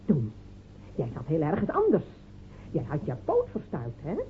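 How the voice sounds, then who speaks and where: An actress in a radio play playing a fierce, scornful village woman with a taunting, sharp-tongued delivery. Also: low voice, huge pitch swings, moderate pace, soft background music. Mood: taunting accusation. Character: fierce, scornful village woman defending her dead man. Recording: tinny, noisy old radio drama recording.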